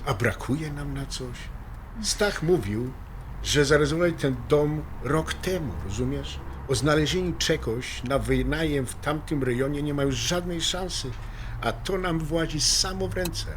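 An actor playing an easygoing, coaxing émigré husband and trucker in a naturalistic audio drama, speaking colloquially with English words mixed in. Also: male voice, a little high, unhurried, slight background noise, lightly acted.

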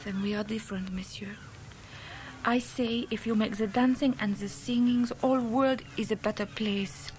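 An actress voicing a wistful blonde showgirl with a French accent, speaking soft, accented broken English in an early 1980s radio play. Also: fairly high pitch, steady tone, normal speed, a little hiss or hum, close to an everyday voice. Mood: sad, tender. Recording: radio play with loud orchestral music.

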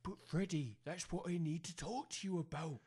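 A man's voice: a little high, moderate pace, a little mellow, slightly rough. A man playing a hapless, hesitant village workmate in an audio comedy drama, meek and casual. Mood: hesitant, worried.

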